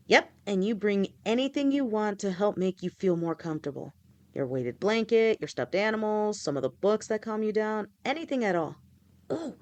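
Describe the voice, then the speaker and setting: Young woman as a patient, protective snake-woman girlfriend in an audio roleplay; soft, reassuring, mid-pitched. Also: close to an everyday voice. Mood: tender. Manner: soft, reassuring, speaks directly to a partner.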